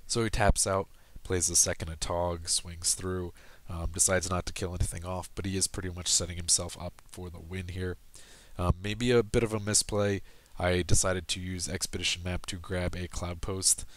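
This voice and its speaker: A man with a low voice and little rise or fall in his pitch talks through a recorded card game. He explains each play patiently, pausing with ums and correcting himself as he goes. His voice sounds a little worn, as if he is losing it. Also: no room sound. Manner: steady explanatory, frequent ums and self-corrections. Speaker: gameplay commentator. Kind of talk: casual game commentary over screen recording.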